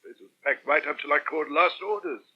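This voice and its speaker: An actor playing a wry, blunt, middle-aged pub landlord and loner in a radio soap. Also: male voice, fairly high pitch, a little fast, slightly rough. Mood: weary, joking. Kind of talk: 1970s British radio soap acting. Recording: poor, muffled old 1979 radio recording.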